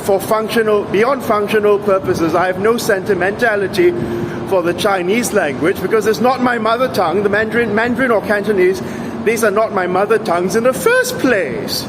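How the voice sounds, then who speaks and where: A man's voice: high voice, moderate pace, background noise. A man giving an opinionated, sarcastic monologue for his vlog, with an emphatic and argumentative way of talking. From Singapore. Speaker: vlogger.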